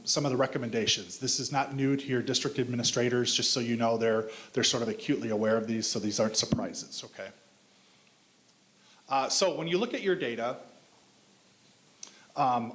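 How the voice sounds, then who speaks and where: A man's voice: medium pitch, fairly bright tone. A man explaining assessment data to a school board in a conversational way, often repeating words while he thinks aloud. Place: board meeting room. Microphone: table microphone.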